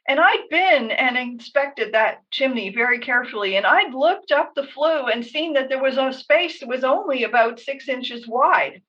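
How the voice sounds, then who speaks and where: Higher-pitched Canadian woman, a little distant. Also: expressive, moderate pace, few pauses. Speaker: podcast co-host. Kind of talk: conversational storytelling. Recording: dull, slightly distant microphone.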